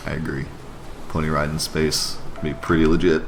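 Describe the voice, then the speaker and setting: A man's voice: very deep voice, flat delivery, normal speed, noisy background. A man streaming a game playthrough, reading its dialogue aloud with gusto. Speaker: game streamer voicing every character of a story game. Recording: music behind the voice. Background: music.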